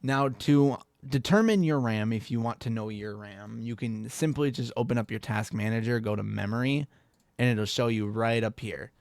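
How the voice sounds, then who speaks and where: A man's voice: mid-range voice, moderate pace, gravelly, darkish tone, no echo, clean studio sound. A young man with a stuffy, congested voice, giving a rambling, laid-back computer tutorial and laughing off his own slips. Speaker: tech tutorial creator. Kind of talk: casual screen-recorded tutorial.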